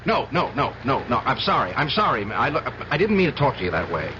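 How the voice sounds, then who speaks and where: An actor playing an agitated, desperate man, halting and stammering with urgency, in 1970s radio drama style. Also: medium pitch, big pitch swings, bright, forceful tone. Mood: frantic, pleading. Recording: dull, noisy old radio broadcast.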